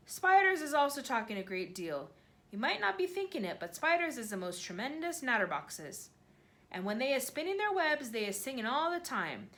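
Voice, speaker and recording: A woman voicing a huge, gentle male giant who speaks with jumbled grammar and made-up words, earnest and full of wonder. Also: high-pitched, big pitch swings, moderate pace, pitched above the performer's own voice.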